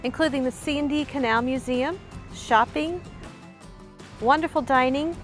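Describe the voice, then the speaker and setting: A middle-aged American woman hosting a scripted travel piece to camera, speaking clearly and deliberately. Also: sits high, soft background music. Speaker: host, librarian. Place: outdoors in a small waterfront town.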